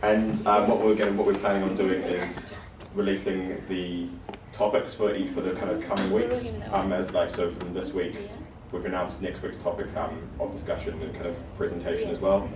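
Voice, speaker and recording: A man opening a community workshop in a relaxed, casual way, with many fillers and frequent chuckles. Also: deep voice, normal speed, hardly any pauses. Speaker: workshop organiser. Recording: roomy, distant, noisy room.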